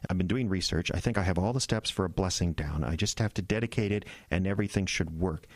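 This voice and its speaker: Audio drama, frazzled obsessive husband, low-pitched, evasive, halting, earnest, close dry sound. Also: male voice, no echo. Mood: preoccupied, manic.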